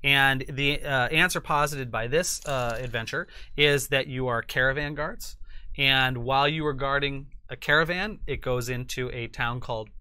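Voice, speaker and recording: A man in his forties giving a casual product preview as a guest, thinking aloud haltingly and correcting himself. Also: medium pitch, sharp tone, clear, resonant tone, no room sound. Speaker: publisher and chief creative officer, guest. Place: indoor studio set with couches and curtain.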